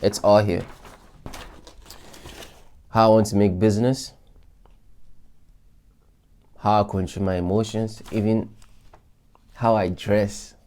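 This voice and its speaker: A man's voice: fairly low pitch, little pitch movement, slow pace, pauses often, dark tone. A man vlogging to his followers in a casual, reflective way, talking through his goals with loose restarts and many fillers. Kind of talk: casual motivational talk to followers.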